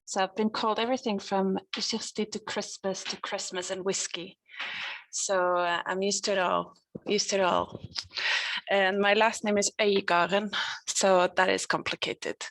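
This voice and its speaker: Norwegian-born woman on a video call panel, creaky, monotone, relaxed with fillers. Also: mid-range voice, moderate pace, no echo, low-quality recording. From Norway. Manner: relaxed, conversational, hesitant fillers. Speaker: discussion participant. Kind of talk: online panel discussion.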